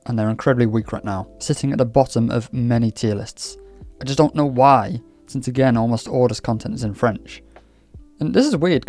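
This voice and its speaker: A man's voice: medium pitch, warm tone. A man from Wales hosting a casual gaming vlog, talking in a chatty, candid, lightly scripted way. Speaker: gaming YouTuber. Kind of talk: casual game commentary vlog. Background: faint background music.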